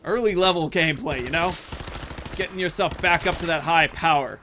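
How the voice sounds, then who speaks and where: A man's voice: on the high side, fairly quick, pushed and bright, a little hiss or hum. A man in his thirties giving casual, rambling commentary as he plays a video game, often thinking aloud and muttering asides. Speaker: video game streamer and host.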